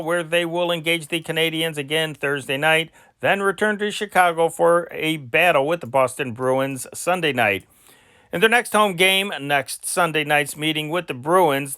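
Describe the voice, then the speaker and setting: A man with a fairly high, bright and forceful voice reads vintage sports news dispatches. His delivery is deliberate and announcer-like, with an occasional stumble. The sound is close and dry. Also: dry recording. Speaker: amateur news reader, channel host. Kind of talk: reading old newspaper sports dispatches aloud.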